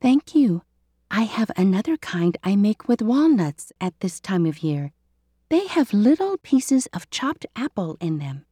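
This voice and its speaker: A woman voicing a kind, motherly woman with a gentle, hospitable manner. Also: medium pitch, very animated, a little slow, slightly rough, dry recording, studio quality. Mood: kindly.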